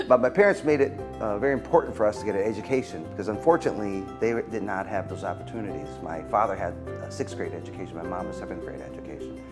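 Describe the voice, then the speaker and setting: A middle-aged man, a physician guest, answering interview questions in an earnest, halting way with many fillers. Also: lowish voice, music in the background. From United States. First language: Macedonian.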